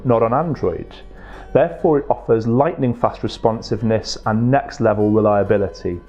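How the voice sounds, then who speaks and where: A man giving a scripted promotional presentation in a measured, articulate way, as a product presenter. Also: mid-range voice, dark tone, muffled recording. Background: music.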